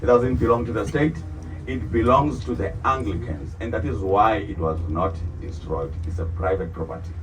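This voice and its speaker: A man's voice: lowish voice, normal speed. A South African man whose first language is not English, guiding a tour group and calling them "good people" as he asks them questions. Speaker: tour guide. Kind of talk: guided bus tour narration. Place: tour bus. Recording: tinny distant PA-like sound with loud vehicle noise.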